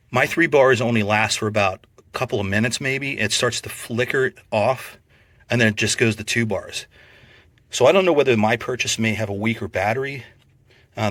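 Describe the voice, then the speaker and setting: A man giving a casual, unscripted product review in a plainspoken, measured, explanatory way. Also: on the low side, a bit bright, no room sound.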